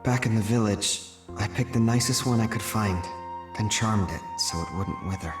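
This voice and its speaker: A man's voice: lowish voice, moderate pace, over music, natural voice. A man voicing an earnest, solemn young man on a desperate pilgrimage, in a game dub. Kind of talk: video game voice acting.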